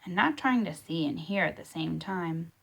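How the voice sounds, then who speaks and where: A woman's voice: fairly low pitch, lively intonation, moderate pace, creaky, breathy edge, exaggerated voice, low-quality recording. A woman voicing a big, bearded old grandfather with a dry, patient manner in a storybook. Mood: patient, teasing.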